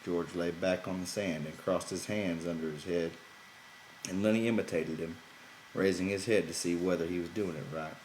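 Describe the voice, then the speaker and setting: Male audiobook narrator, deep register, literary prose reading, roomy sound with faint noise. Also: fairly even intonation, moderate pace, darkish tone. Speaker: audiobook reader.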